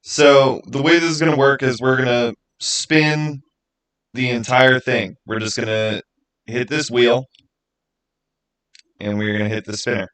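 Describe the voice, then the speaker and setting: A man's voice: medium pitch, steady tone, unhurried, pauses often, fairly bright tone, rough recording. A man hosting a livestream, chatting casually and informally straight to his viewers. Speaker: live stream host running a giveaway.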